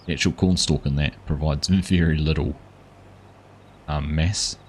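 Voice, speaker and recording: Game streamer: male, New Zealand accent, very low voice, relaxed rambling chat, faint hum behind. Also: measured pace. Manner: relaxed, rambling, chats with viewers. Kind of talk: casual gameplay livestream chat.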